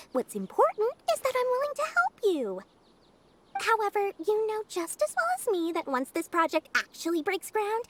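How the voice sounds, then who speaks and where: A woman's voice: extremely high pitch, heavy background noise, heavily acted. A woman voicing a shrewd, smug, childlike girl merchant in a video game, theatrical, haughty and teasing. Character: shrewd, smug young female merchant, childlike and money-minded. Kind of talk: video game character acting.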